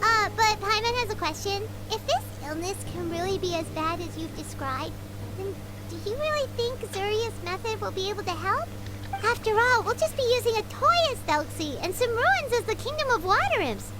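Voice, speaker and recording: A woman voicing a tiny, excitable, childlike fairy companion in a video game, squeaky and exclamatory. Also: normal speed, poor audio. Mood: curious. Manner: speaks of herself in third person, exclamatory. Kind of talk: cartoonish video game voice acting. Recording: music under the voice.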